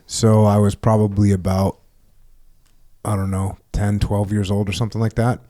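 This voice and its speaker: A man with a low voice tells a story in a loud, lively way and is amused at himself. He jumps up in pitch when he acts out a scene. The talk is casual and full of asides. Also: moderate pace. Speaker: podcast host.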